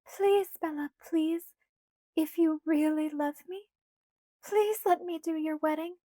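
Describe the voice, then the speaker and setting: A woman's voice: extremely high pitch, slow, dark tone, no echo, studio quality, pitched above the performer's own voice. Woman doing a bubbly wheedling girl vampire. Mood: pleading. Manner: wheedling, sing-song, eager. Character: bubbly, pushy young female vampire. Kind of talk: audiobook character voice.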